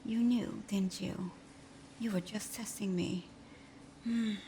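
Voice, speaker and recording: A woman's voice: mid-range voice, steady tone, slow, dark tone, a little hiss or hum, close to an everyday voice. A woman playing a sly, flirtatious supervillain in a scripted ASMR roleplay, murmuring breathily with teasing hums. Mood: playful, smug. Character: flirtatious, sly female supervillain teasing her hero nemesis.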